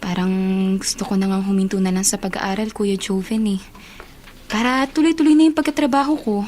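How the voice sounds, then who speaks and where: A woman's voice: mid-range voice, fairly even intonation, fairly quick, a little mellow, a little hiss or hum, natural voice. A woman acting a meek, respectful young niece in a Filipino radio drama, speaking politely and earnestly. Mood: downcast. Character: dutiful, respectful young working student niece. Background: faint background music.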